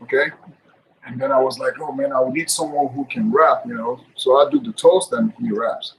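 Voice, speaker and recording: Gravelly man on video call. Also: mid-range voice, normal speed, a little hiss or hum, poor audio. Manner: relaxed, laughs often, jokey. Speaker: guest actor. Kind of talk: casual interview over video call. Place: indoors, room with curtains. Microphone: webcam mic on video call.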